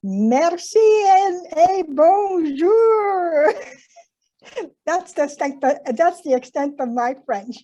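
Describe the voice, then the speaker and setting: High, mellow-voiced woman. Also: expressive, drawn-out words, dull audio. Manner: light, self-deprecating. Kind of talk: self-introduction at a club meeting. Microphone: video call.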